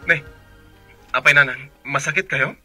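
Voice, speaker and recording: A devoted grown son acted by a man in an Ilocano radio drama, with an Ilocano accent. His medium-pitched voice is extremely bright and forceful, with an earnest manner. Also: normal speed, soft background music. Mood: concerned. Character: devoted, earnest adult son working far away.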